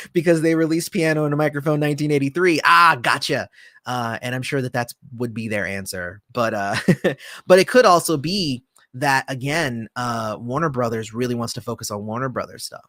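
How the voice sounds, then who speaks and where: A man hosting a casual livestream, answering viewer comments in a relaxed, rambling way with lots of fillers, often trailing off softly. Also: mid-range voice, no room sound, clean studio sound. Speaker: channel host.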